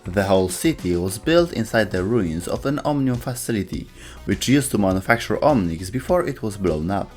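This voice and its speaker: Low-voiced man narrating over music. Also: lively intonation, moderate pace, darkish tone. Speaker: host of a video game lore show. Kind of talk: scripted narration.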